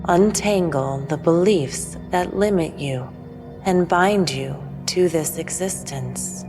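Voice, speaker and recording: An actress playing a serene, preachy female alien spiritual teacher in an audio drama, speaking in a solemn, measured way. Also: lowish voice. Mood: serene, instructive. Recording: long reverb, music underneath.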